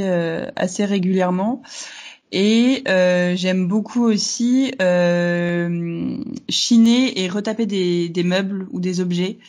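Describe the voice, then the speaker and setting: A woman's voice: lowish voice, darkish tone. A young woman from northern France with a slight northern French accent, answering interview questions in a hesitant, casual way, with many fillers and easy laughter. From Hauts-de-France, northern France. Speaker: guest entrepreneur.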